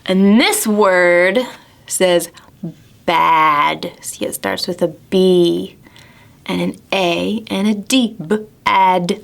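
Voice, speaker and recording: A woman presenting storytime to preschoolers, asking simple questions and stressing key words deliberately. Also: fairly low pitch, creaky. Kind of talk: storybook reading and talking to young children.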